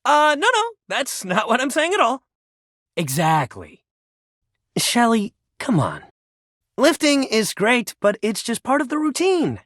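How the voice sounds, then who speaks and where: Game role: an upbeat gym-bro coach, very animated, supportive, dry close sound. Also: male voice, very high-pitched, unhurried, dry recording, heavily acted. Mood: mildly exasperated. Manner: peppy, encouraging, casual bro slang. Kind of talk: video game character voice lines.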